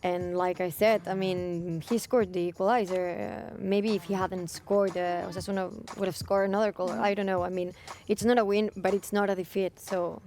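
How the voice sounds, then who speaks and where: A woman's voice: mid-range voice, fairly even intonation, normal speed, few pauses, darkish tone, a little hiss or hum. A young woman from Spain with a Spanish accent, co-hosting a casual football chat and reading out fan questions, with many fillers and restarts. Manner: hesitant, filler-heavy.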